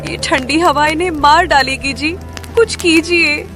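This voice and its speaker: Desperate village mother acted by a woman: very high, slow, plaintive pleading, music underneath. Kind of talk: acted moral story dialogue. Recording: voice over clear background music.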